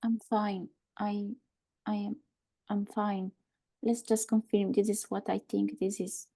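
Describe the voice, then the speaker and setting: A woman's voice: mid-range voice, little pitch movement, measured pace, gravelly, warm tone. A woman playing a shaken but insistent woman in a live thriller table read.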